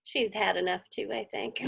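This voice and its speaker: A woman's voice: fairly high pitch, gravelly, warm tone, husky. A woman reading a children's story aloud expressively, with dramatic emphasis and chatty asides. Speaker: storybook reader. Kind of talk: animated children's read-aloud with conversational asides.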